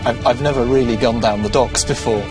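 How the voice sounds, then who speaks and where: A man's voice: medium pitch, fairly even intonation, fairly quick. A man from London working as project manager, trying to be heard and repeating himself over others. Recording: distant mic with loud music.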